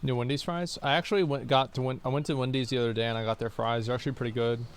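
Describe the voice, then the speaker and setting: A man's voice: medium pitch, steady tone, rapid-fire, smooth. Blunt, rambling young man streamer. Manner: rambling, blunt, reads out and answers chat questions. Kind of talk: casual chat with livestream viewers while gaming.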